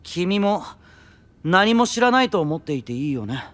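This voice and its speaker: An actor playing a sly, needling young knight mage who can turn commanding, in a fantasy audio drama. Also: male voice, high voice, big pitch swings, moderate pace, no echo. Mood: cool, pointed. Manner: playful needling that turns forceful and commanding. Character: young male knight mage, sly, taunting, relentless interrogator. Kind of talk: anime-style fantasy audio drama acting.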